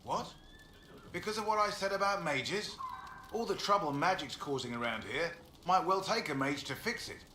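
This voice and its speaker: An actor playing a gruff older horsemaster in a fantasy game, blunt, plain-spoken and folksy. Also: male voice, fairly high pitch, expressive, moderate pace, pushed and bright, a little hiss or hum. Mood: blunt, conciliatory. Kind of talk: video game dialogue acting. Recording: echoey, with music behind.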